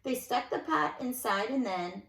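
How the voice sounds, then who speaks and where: Animated woman reading a storybook, slightly high voice. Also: unhurried, vocal fry. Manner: animated, dramatic emphasis, conversational asides. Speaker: nursery school teacher reading a storybook aloud. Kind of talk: expressive read-aloud storytelling for young children. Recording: echoey room sound.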